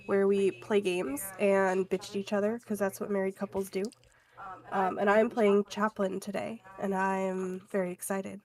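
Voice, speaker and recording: A woman of medium pitch speaks casually as a tabletop game player introducing herself. Her intonation is very flat and even.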